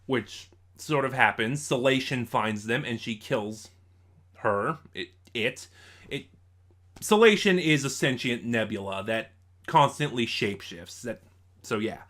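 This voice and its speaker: Male reviewer, medium pitch, informal recap delivery, halting and digressive with frequent self-corrections. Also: lively intonation, fairly bright tone. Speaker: audio drama reviewer. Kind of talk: casual review with story recap.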